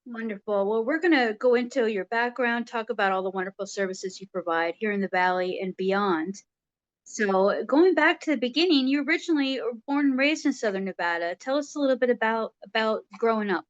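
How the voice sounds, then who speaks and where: A woman's voice: a little high, a little fast, no room sound, lo-fi sound. A woman hosting an interview show, asking her guest questions in a friendly, upbeat way. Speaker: show host.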